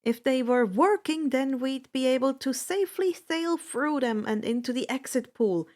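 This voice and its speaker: A woman reading fan fiction aloud as an expressive first-person narrator, with a deliberate, dramatic delivery. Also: high voice.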